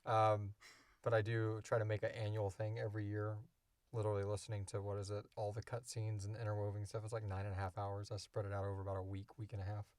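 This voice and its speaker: A man's voice: on the low side, completely flat delivery, fast, darkish tone, no echo. A man in his thirties hosting a casual podcast Q&A, rambling along in an enthusiastic, jokey way. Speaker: podcast creator and host. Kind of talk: casual Q&A chat.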